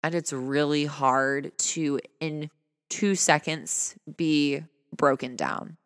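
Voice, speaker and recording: A man speaking earnestly and conversationally in a personal reflective talk, his voice fairly high for a man, often asking rhetorical questions. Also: slow pace, fairly bright tone, clear, resonant tone, no room sound, clean studio sound, big-room sound. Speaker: vlogger.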